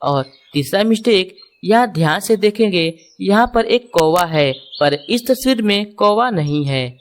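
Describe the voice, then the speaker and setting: A woman's voice: medium pitch, expressive, unhurried, a little hiss or hum. A woman reading scripted children's stories and puzzles, explaining things and speaking directly to the viewers. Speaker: narrator.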